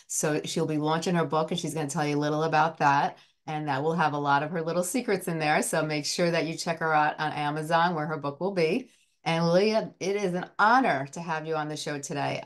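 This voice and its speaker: Interview host, low woman's voice, warm and rambling, lots of fillers. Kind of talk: interview hosting.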